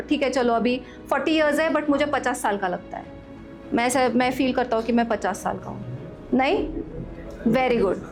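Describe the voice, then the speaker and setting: A woman in her forties, a wellness coach leading a live workshop, lively, questioning the audience and giving step-by-step instructions while mixing in English phrases. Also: sits high. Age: 40s. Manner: mixes Hindi with English phrases. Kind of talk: live workshop talk to a group.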